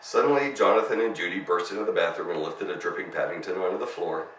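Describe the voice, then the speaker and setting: A man's voice: sits low, quick pace, a bit bright. A man narrating a children's story as a storyteller, reading expressively. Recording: roomy, distant mic, faint noise.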